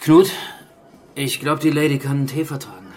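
An actor playing a gruff, no-nonsense archaeologist who leads protectively, in a naturalistic radio drama. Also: male voice, fairly high pitch, steady tone, a little fast, darkish tone, close to an everyday voice. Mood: matter-of-fact.

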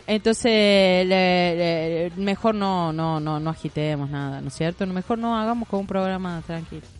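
An Argentine woman hosting a studio radio show, chatting in a rambling way with quick asides. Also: lowish voice, over music. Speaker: radio host. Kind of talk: casual studio radio chat. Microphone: studio microphone.

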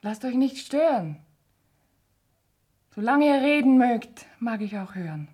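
An actress playing an earnest, devoted young maiden with a breathy voice in a radio verse drama. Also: female voice, on the high side, lively intonation, a little slow, a little mellow. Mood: eager, tender.

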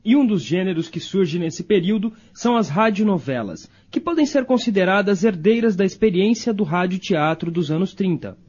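High-voiced, mellow Brazilian man narrating. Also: lively intonation, moderate pace, few pauses, husky, highs cut off. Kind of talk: scripted radio documentary narration.

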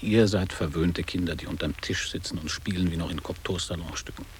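Actor as deep-voiced, bitter middle-aged former pupil. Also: male voice, fairly even intonation, fairly quick, natural voice. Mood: sober, dismissive. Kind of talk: literary radio drama acting. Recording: reverberant echoing hall, noticeable hiss.

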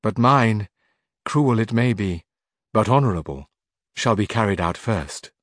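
A man's voice: on the low side, expressive, unhurried, no room sound. Male reader voicing a vengeful noblewoman, impassioned, declamatory, dry. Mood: indignant. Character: proud indignant noblewoman, vengeful.

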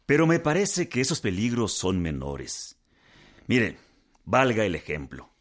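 An actor with a Mexican accent playing a wry, deferential general who explains things deliberately in a radio drama. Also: male voice, fairly low pitch, wildly swooping pitch, full character voice. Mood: wry, persuasive. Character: deliberate, wry general advising his commander with homely examples.

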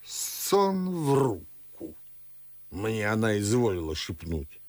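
An actor playing a blustering, fussy old gentleman and father in a verse radio drama, grumbling and exclaiming. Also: fairly low pitch, very animated, takes it slowly, no room sound. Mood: suspicious, fussy. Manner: grumbling, exclamatory, swooping delivery. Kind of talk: Russian classical verse radio drama acting.

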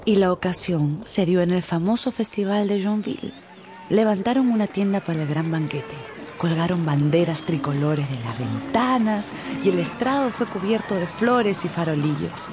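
A woman giving expressive, scripted storytelling narration for a radio drama. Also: fairly low pitch, normal speed, music in the background.

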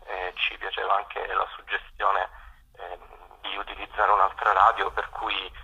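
A man being interviewed on radio by phone, explaining things in a halting way with many pauses. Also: low-pitched, little pitch movement, gravelly, bright, forceful tone, breathy edge. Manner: hesitant, halting with frequent pauses. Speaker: community radio programmer, interview guest. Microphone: phone call. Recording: dull telephone call.

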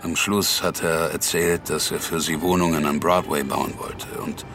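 An actor playing a laconic, world-weary American man who confides haltingly in intimate radio drama. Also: very deep voice, little pitch movement, noisy background. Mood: resigned. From New York, United States.

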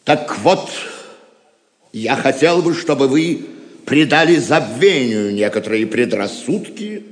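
An actor playing a noble, dignified medieval king in an audio drama, speaking in a formal, measured courtly manner. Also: medium pitch, animated. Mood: courteous, firm. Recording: long hall reverb.

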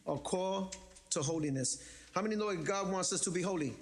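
A pastor from the Bronx, preaching a sermon in a lively, joking, conversational way. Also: male voice, high voice, brisk. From the Bronx, New York.

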